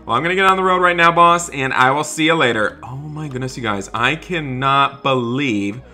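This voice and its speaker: A man hosting a roleplay gaming video, narrating excitedly and speaking straight to viewers in an animated, exclamatory way. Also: medium pitch, moderate pace. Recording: music behind the voice. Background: background music.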